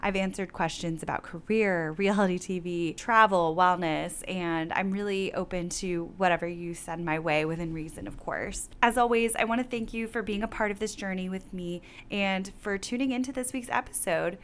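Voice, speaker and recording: A young woman hosts her wellness podcast, gushing with praise and upbeat as she wraps up. Her voice sits in a middle pitch and is slightly worn from laryngitis. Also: moderate pace, few pauses, a bit bright, smooth. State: recovering from laryngitis. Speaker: podcast host. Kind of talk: casual interview and scripted outro.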